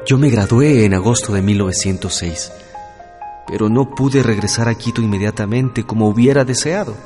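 A man's voice: lowish voice, moderate pace, close to an everyday voice. An Ecuadorian man playing an earnest young schoolteacher, in love and wounded, in a radio drama. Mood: reflective. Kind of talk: Spanish-language radio drama acting and narration. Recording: long reverb, music behind.

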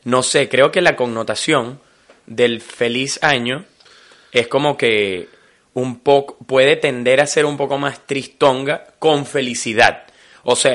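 Hombre, acento venezolano, charla casual con jerga, habla bromista. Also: male voice, medium pitch. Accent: Venezuelan Spanish. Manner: joking, animated, full of slang. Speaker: podcast co-host. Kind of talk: casual chat.

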